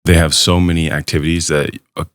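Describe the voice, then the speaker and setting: A man's voice: sits low, little pitch movement, quick pace, fairly bright tone, dry recording. A man talking as a podcast guest in an easygoing, laid-back way. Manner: easygoing, laid-back, says "dude" a lot. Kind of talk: casual chat.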